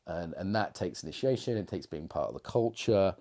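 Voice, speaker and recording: A man's voice: low-pitched, lively intonation, fairly quick, dark tone. A middle-aged man coaching on confidence in a rambling, reflective monologue full of fillers and restarts. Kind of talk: casual monologue to camera.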